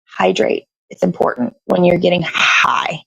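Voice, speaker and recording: A woman's voice: lowish voice, steady tone, unhurried, creaky, a bit bright, breathy edge, no echo, poor audio. Dry, close-sounding woman, rambling casual chat. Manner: meandering, searching for words, casually profane. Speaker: live show host.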